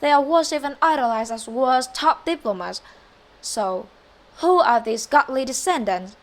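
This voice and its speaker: A woman's voice: high voice, animated, normal speed. A teenage girl with a Vietnamese accent playing a formal female news anchor and talk show host, reading a scripted mock broadcast. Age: teens.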